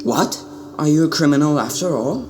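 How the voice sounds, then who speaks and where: Man playing an earnest, higher-voiced young prince. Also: big pitch swings, moderate pace, darkish tone. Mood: suspicious. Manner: questioning, formal, stiffly polite. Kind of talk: amateur radio drama acting.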